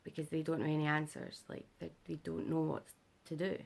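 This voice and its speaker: A young British woman talks to camera in a low, deep register, her voice heavily gravelly and rough from illness. She rambles through a casual update full of fillers, sounding worn out and short on sleep. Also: completely flat delivery, a little fast. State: unwell and sleep-deprived. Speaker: vlogger. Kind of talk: casual health update vlog.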